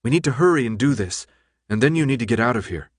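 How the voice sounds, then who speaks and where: A man's voice: medium pitch, animated, quick pace, slightly rough, no room sound. A tough young woman who takes no nonsense, as a male narrator plays her. She is businesslike and insistent, pressing her case. The audiobook sound is dry and close.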